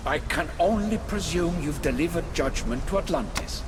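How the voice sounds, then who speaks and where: A man's voice: on the high side, lively intonation, normal speed, background noise, close to an everyday voice. An actor playing a proud, imperious male ruler in a video game cutscene, commanding and indignant. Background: game score music.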